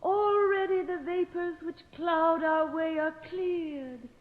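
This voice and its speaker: Slow, high, echoing seance medium. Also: female voice, steady tone. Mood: solemn, trancelike. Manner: solemn, incantatory. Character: mysterious female spiritualist medium conducting a seance. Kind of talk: old-time radio acting. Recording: muffled, reverberant old radio recording.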